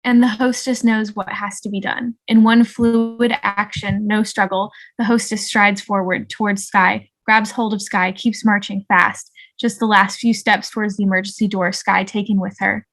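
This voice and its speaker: Woman reading script directions on a video call, pretty even tone, medium pitch. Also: moderate pace, hardly any pauses, poor audio. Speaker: narrator. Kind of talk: reading stage directions at a table read. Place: online video call table read.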